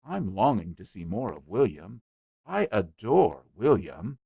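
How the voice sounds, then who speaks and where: A man voicing a gracious, polite young woman who speaks gently. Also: on the low side, very animated, unhurried, gravelly, slightly rough, no echo, dull audio, heavily acted.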